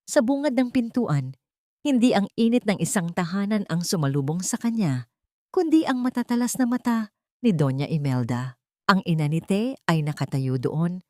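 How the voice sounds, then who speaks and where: A woman's voice: fairly low pitch, no room sound. A woman reading a dramatic scripted story as narrator, recorded close in a dry space.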